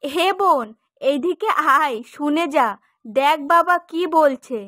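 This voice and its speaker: A woman's voice: high voice, slow, a bit bright, no echo. A woman voicing a young, affectionate and outspoken village daughter in a cartoon moral story, coaxing and pert. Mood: concerned, curious.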